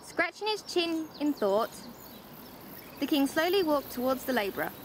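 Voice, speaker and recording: Woman acting bedtime storyteller, echoey, higher voice. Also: big pitch swings, normal speed. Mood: storytelling. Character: friendly, teasing older female relative reading bedtime stories. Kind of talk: bedtime storytelling in amateur drama. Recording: roomy echo, faint background noise.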